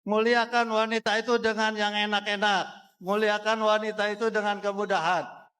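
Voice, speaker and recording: A man's voice: high voice, flat delivery, normal speed, bright, forceful tone. A male political candidate with an Indonesian accent giving an emphatic debate speech in a reverberant hall. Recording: reverberant hall sound system.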